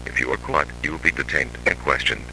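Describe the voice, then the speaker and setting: An extremely deep, creaky emergency alert announcer, played by a man, stern and formal. He reads an official scripted warning with a hard edge, over a faintly noisy, humming broadcast. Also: quick pace, full character voice. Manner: flat, authoritative, formal.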